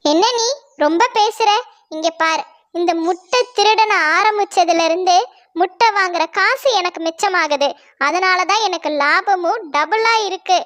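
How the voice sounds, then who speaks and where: A woman's voice: very high voice, a little slow, a bit bright. A woman voicing Jakku, a greedy male bird who steals eggs, in a blustering, dismissive manner. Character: greedy male bird, egg thief, gruff.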